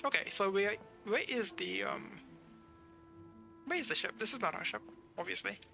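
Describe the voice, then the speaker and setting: A man's voice: high voice, normal speed, creaky, music in the background. A man giving casual live commentary as he plays a game, musing aloud in a hesitant way. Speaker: game streamer.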